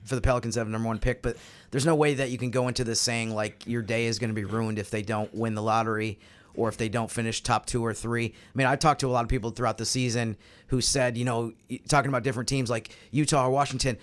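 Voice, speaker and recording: Male sports podcast panelist, medium pitch, conversational delivery. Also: little pitch movement, quick pace.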